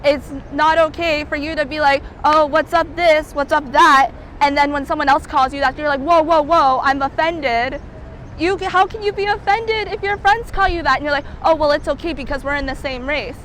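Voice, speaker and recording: A woman's voice: sits high, moderate pace, fairly bright tone, slight background noise. A young woman from California answering interview questions outdoors, speaking in an earnest, candid way.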